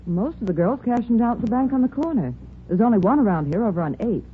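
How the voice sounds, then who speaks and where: A woman playing a brisk, busy elevator starter with a singsong businesslike call, in a 1950s radio drama. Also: on the high side, lively intonation, close to an everyday voice. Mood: helpful, busy. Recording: tinny, noisy vintage radio recording.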